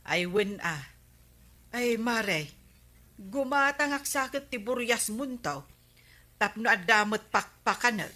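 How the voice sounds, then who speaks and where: A woman's voice: a little high, animated, takes it slowly, pauses often, sharp tone, exaggerated voice. A woman playing a nagging, gossipy village wife in an Ilocano radio comedy, with an Ilocano accent and a scolding manner. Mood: neighborly. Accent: Filipino, Ilocano.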